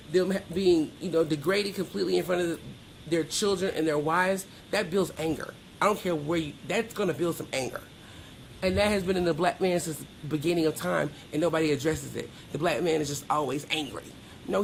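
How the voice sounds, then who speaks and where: A woman's voice: lowish voice, gravelly, slight background noise, low-quality recording. A middle-aged American woman telling personal stories candidly as an interview guest, with emphatic, colloquial delivery. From Georgia, United States.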